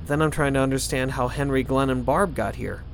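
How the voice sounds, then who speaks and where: A man's voice: mid-range voice, fairly even intonation, brisk, a little hiss or hum, natural voice. A young man playing a curious, sceptical student sidekick in an audio drama. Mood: questioning. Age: young adult.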